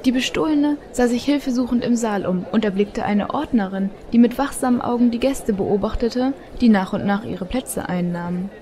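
A woman's voice: on the high side, normal speed, few pauses, dark tone. A woman narrating a scripted story as the storyteller of an audio drama. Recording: faint background ambience.

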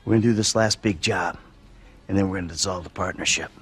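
A man's voice: sits low, quick pace, breathy edge, faint music behind. A man playing a middle-aged American career robber in a video game, sardonic, weary and short-tempered. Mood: exasperated. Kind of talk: video game cutscene acting.

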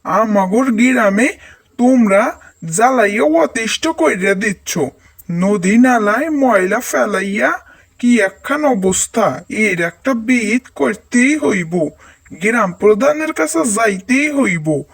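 A man's voice: high voice, animated, slow pace, creaky, slightly rough, exaggerated voice. A man playing a quarrelsome, aggrieved villager in a cartoon. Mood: angry, threatening. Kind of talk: cartoon dialogue.

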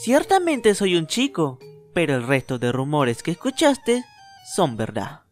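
A man voicing a playful, teasing boy ghost in a fan dub, swinging from low murmurs to loud lines. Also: huge pitch swings, a little slow. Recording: faint anime music behind.

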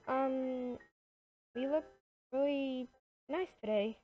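A woman chatting casually over a game roleplay in a hesitant, halting way. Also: high voice, highs cut off. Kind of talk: casual gameplay roleplay chat.

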